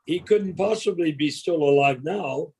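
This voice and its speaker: Husky, wry male co-host. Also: on the high side, a little slow, darkish tone, rough recording. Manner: wry, argumentative, sarcastic jokes. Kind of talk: casual video-call discussion. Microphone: video call.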